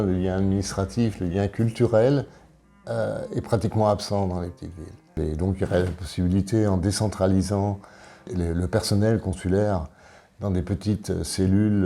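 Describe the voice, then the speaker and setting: A man of sixty-two from Paris, presenting himself as an election candidate in an interview, speaking in a halting, deliberate way. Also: sits low, vocal fry, mellow. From Paris, France. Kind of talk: interview answers, self-presentation.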